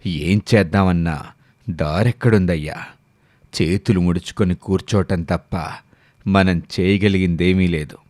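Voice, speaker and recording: A man voicing a resentful, brooding village schemer with a slow delivery in a moral story. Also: low-pitched, fairly even intonation, a little mellow, no echo, voice pushed down. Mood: resigned, brooding. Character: resentful village schemer, bitterly waiting for his chance.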